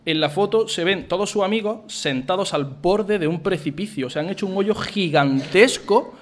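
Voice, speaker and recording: A man's voice: sits high, normal speed, a little hiss or hum. A man from Spain co-hosting a podcast, reading listener messages aloud and teasing his co-host with jokes and emphatic repetition.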